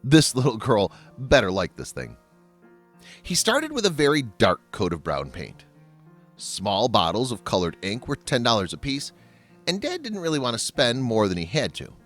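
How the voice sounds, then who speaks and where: A man delivers scripted narration as a voice-over. His pitch sits in a middle range for a man but swings very widely, giving a highly animated read. Faint music plays behind him. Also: normal speed. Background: background music.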